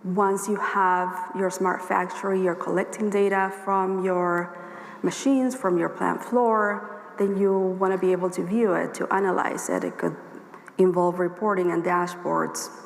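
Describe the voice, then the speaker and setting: A woman with a hoarse voice giving a workshop presentation at a conference, explaining her points steadily and speaking straight to the audience. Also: lowish voice, fairly even intonation, unhurried. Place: conference hall. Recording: echoey hall with long reverb, faint ambience.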